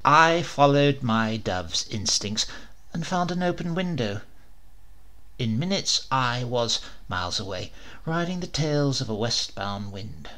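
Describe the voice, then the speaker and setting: A man reading a comic story aloud as a first-person narrator, expressive and wry. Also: medium pitch, a little slow.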